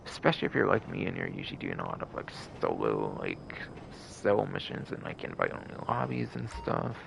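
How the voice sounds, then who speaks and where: A man's voice: low-pitched, huge pitch swings, brisk, vocal fry, background noise. A man giving casual live game stream commentary in a rambling, repetitive way full of filler words, with some casual swearing.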